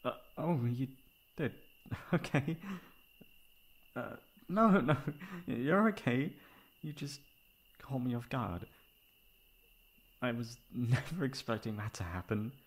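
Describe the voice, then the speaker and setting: A man playing a tender, flustered, gently teasing best friend in love, in an intimate, halting ASMR romance roleplay. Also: mid-range voice, expressive, breathy edge. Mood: flustered, surprised. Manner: soft, halting, affectionate.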